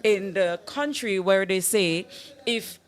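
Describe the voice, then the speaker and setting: Brief: mid-pitched Grenadian woman, friendly chatty co-commentary. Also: expressive, slow pace. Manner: agreeable, chatty, light laughter in the voice. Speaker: broadcast co-commentator. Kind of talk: live event commentary.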